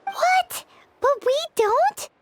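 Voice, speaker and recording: A woman voicing a small, childlike, cheeky fairy companion in a video game, with a squeaky, exclamatory delivery. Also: animated, extremely slow, slight background noise, full character voice. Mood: indignant, cheeky. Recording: loud game music under voice.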